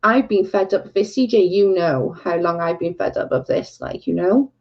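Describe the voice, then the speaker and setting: A woman's voice: lowish voice, moderate pace, muffled recording. A Welsh woman hosting a casual livestream, talking bluntly and with exasperation to her chat. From Wales. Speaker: livestream host.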